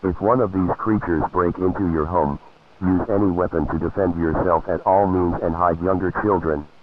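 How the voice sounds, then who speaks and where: Tinny, low-voiced male emergency announcer. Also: steady tone, moderate pace. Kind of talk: scripted emergency broadcast warning. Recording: phone-like narrow band, radio alert.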